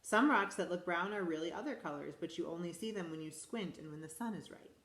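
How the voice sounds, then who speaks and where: A school principal, a woman, reading a picture book aloud to children in a lively, expressive way. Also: on the low side, fairly quick, roomy sound. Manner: animated, expressive storytelling to children.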